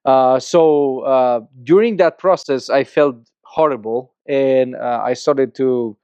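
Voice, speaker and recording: A man's voice: medium pitch, slow pace, mellow, smooth, dry recording. A middle-aged man from Romania with a Romanian accent, a podcast guest telling his story in earnest, with many restarts and repeated words. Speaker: guest, church planter. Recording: slightly muffled dry podcast audio.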